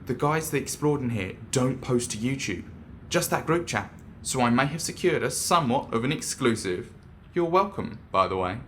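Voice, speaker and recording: A man playing an excitable, cheeky young ghost hunter in an audio drama, chatty, eager and teasing. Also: mid-range voice, normal speed, hardly any pauses, slight background noise, close to an everyday voice. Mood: smug, teasing. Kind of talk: naturalistic audio drama acting.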